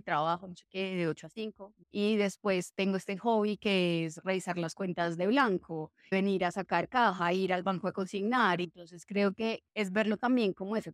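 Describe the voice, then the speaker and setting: Young woman, casual interview guest, chatty with fillers, mid pitch, dry close sound. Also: dry recording. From Colombia. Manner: chatty, full of fillers like "o sea" and "pues". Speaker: guest, café co-founder.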